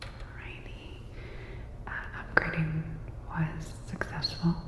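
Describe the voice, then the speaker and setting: A woman whispering softly and gently in an ASMR sci-fi roleplay as a calm, caring robot repair technician, with a dull, reverberant sound. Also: low voice, slow pace. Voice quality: soft, breathy whisper.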